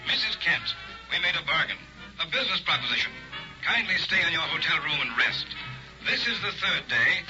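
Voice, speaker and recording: Man playing sinister carnival hypnotist, theatrical barker patter, somewhat high voice, dull old radio sound, music behind. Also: expressive, brisk, close to an everyday voice. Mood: cold. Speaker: carnival showman. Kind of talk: 1940s radio drama acting.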